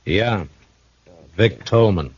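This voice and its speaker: A deep-voiced, calm frontier marshal. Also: male voice, very slow, slight background noise. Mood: calm, probing. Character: steady, shrewd frontier town marshal, grown man. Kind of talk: 1950s radio drama acting. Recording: dull old radio broadcast recording.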